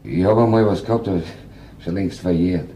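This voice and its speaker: An actor playing an evasive, self-pitying butcher's assistant in a radio crime drama, protesting plaintively in Viennese dialect. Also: male voice, low-pitched, fairly quick, dark tone, a little hiss or hum, lightly acted, low-quality recording. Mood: defensive.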